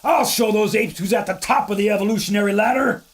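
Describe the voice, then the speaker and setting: An actor playing a brash, cocky crew member in a pulp audio drama, boastful and bold. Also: male voice, sits high, fast talker, breathy edge. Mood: brash bravado.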